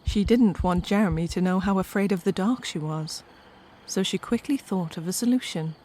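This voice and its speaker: A woman's voice: mid-range voice, moderate pace, mellow, slight background noise. A woman narrating a scripted comic story with wry, conversational asides to the listener.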